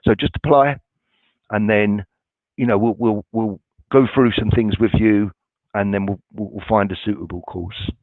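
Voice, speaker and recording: A man's voice: lowish voice, steady tone, moderate pace, no room sound. A man from a college staff answering viewer questions in a webinar, informal and reassuring, with many ums and restarts. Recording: dry, tinny phone-like sound.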